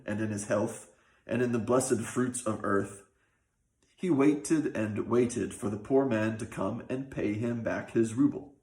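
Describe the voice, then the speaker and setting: A man narrating a scripted folk tale with an expressive, old-fashioned storytelling delivery. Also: mid-range voice. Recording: roomy, echoing sound.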